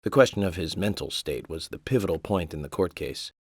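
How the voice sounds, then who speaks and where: A man playing an eccentric, polite FBI agent in a video game, speaking in a measured, deadpan, blithely morbid way. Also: low voice, breathy edge, no room sound, clean studio sound, full character voice. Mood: matter-of-fact, morbid. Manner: deadpan, measured, matter-of-fact about grisly things. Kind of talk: video game cutscene acting.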